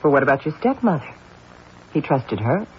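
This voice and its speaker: An actor playing a skeptical, businesslike police detective with pointed questioning, in an old-time radio drama style. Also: male voice, fairly high pitch, very animated, normal speed. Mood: probing, cool. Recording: muffled old radio recording, faint noise.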